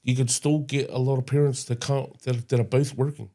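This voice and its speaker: A young man co-hosting a casual podcast, talking in a halting way with lots of "um" and "yo" interjections. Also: mid-range voice, little pitch movement, mellow. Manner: halting, many fillers and restarts, says yo often. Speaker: podcast co-host. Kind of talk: casual chat.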